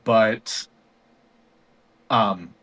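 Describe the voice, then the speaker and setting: A man talking as a guest singer in a casual interview, thoughtful and rambling with many pauses and ums. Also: fairly low pitch, steady tone, a bit bright.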